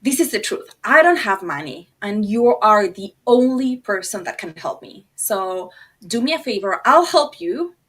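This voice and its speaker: Woman from Argentina, native Spanish speaker, middle-aged, bright voice, medium pitch, casual animated storyteller. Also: unhurried, slightly rough. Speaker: podcast guest, designer and producer.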